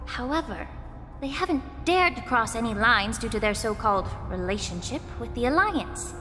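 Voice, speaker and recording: Poised young alchemist,, fairly high, measured and analytical, game dub, reverb, music under. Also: female voice, lively intonation, sharp tone. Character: poised young woman alchemist, calm and thoughtful. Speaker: game character. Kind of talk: dubbed game dialogue.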